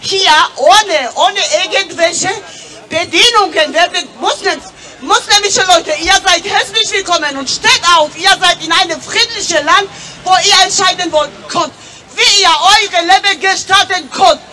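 A woman's voice: very high voice, measured pace, bright, forceful tone. A woman, speaking nervously and emotionally in broken German at an outdoor street rally. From Georgia. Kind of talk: impassioned street speech. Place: outdoor city square rally. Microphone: handheld mic through loudspeakers. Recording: distant voice, crowd and street noise.

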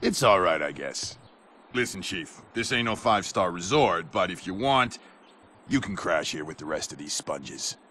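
A man playing a gruff, misanthropic skate legend in a video game, sardonic and dismissive. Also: sits low, animated, moderate pace, background noise. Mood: grudging. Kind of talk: video game cutscene acting.

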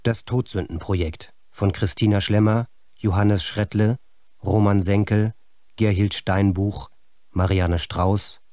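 A man's voice: fairly low pitch, a little mellow, no room sound. A man reading scripted cast and production credits for a radio play as the announcer.

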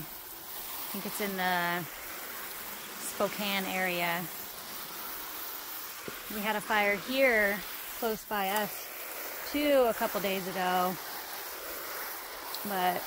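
A woman's voice: medium pitch, background noise. A woman in her thirties, a homesteader, chatting casually as she walks through her fenced garden outdoors and talks into a handheld camera. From Idaho, USA. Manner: relaxed, chatty, trailing off between points. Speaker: homesteader giving a garden tour. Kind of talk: casual walking garden tour.

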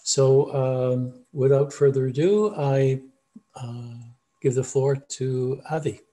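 An older man moderating a panel on a video call, introducing the next speaker with frequent pauses and fillers. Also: mid-range voice, warm tone. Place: home room. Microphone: video call webcam.

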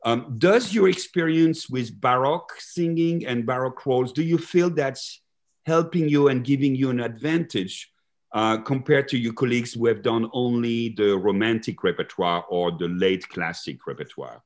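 Guy in his 50s interviewing on a video call, mid pitch, stop-start and repeats himself. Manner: halting, repeats phrases as he thinks aloud. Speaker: host, conductor. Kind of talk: conversational interview on opera. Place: large living room, video call.

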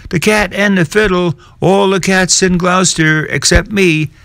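A hungry, vexed storybook tomcat with a plaintive, mewing voice pitched well up, as a man puts him on. The sound is dry and close. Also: fairly even intonation, unhurried, vocal fry, a bit bright, no echo, exaggerated voice.

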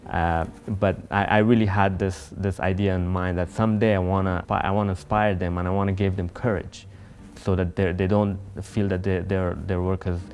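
Earnest young man, low flat voice. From Afghanistan. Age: young adult. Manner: earnest, halting, many restarts. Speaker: interviewee. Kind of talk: sit-down interview. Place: empty concert hall stage.

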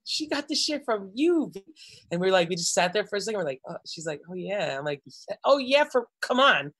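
A man's voice: sits high, brisk. A young man, a native Spanish speaker, chatting casually as a podcast co-host on a headset video call from a room at home, acting out family voices. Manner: animated, acts out family voices.

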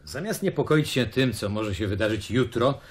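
A scornful skeptic of a philosopher from a radio drama, played by a man. His voice sits at a middling pitch, and he pronounces his opinions bluntly and dismissively. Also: lively intonation, normal speed, close to an everyday voice.

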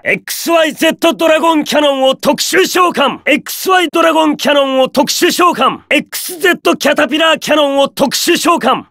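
An actor playing an arrogant, domineering young card duelist in an anime video game, declaiming imperious battle commands theatrically. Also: male voice, very high voice, creaky, bright, forceful tone, no room sound, clean studio sound, exaggerated voice. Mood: proud, grandiose.

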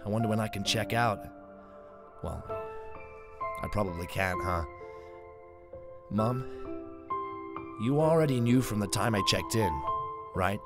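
A man's voice: on the low side, a little slow, long pauses, loud music behind the voice. A man playing a resigned young hospital patient, subdued, hesitant and blunt. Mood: resigned, sad.

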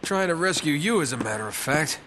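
A man's voice: a little high, animated, fairly quick, a little hiss or hum. An actor playing a wry, scrappy American treasure hunter in his thirties in a video game, sardonic and prone to muttering. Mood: dry. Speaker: protagonist of an adventure game. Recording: loud game music behind the voice. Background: loud music.

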